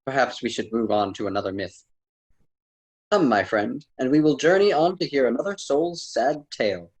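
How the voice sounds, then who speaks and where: A man's voice: mid-range voice, lively intonation, normal speed, slightly rough. A man playing a patient ancient Roman poet and mentor in a radio drama, speaking in formal, old-fashioned phrases. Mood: grave, instructive. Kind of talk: theatrical radio drama dialogue.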